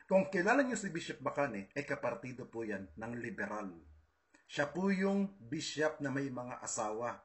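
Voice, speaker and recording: A man's voice: medium pitch, sing-song, normal speed. A man with a Filipino accent, giving an informal, combative monologue to online followers, stressing and repeating his points. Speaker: political video host.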